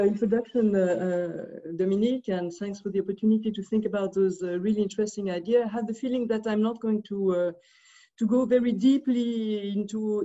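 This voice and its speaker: A woman's voice: mid-range voice, dark tone, muffled recording. A woman from Switzerland giving an academic commentary over a video call, speaking hesitantly with many fillers and restarts. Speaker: discussant.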